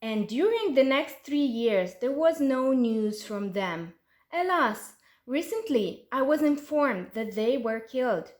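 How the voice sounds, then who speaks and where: Woman acting clever young girl, Uzbek accent, bold, high lively pitch, storybook tale, echoey room. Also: slow pace. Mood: mock-earnest. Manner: assertive, animated. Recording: roomy, echoey sound.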